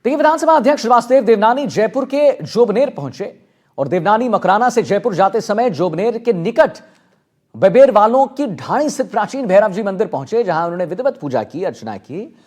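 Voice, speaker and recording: A man's voice: high-pitched, lively intonation, brisk, a little mellow. A man presenting live television news as an anchor.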